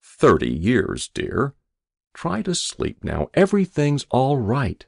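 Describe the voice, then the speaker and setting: A man's voice: medium pitch, wildly swooping pitch, slow, vocal fry, a little mellow, husky, dry recording, studio quality, voice pushed up, exaggerated voice. Man acting a soothing, tender middle-aged wife. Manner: tender, reassuring.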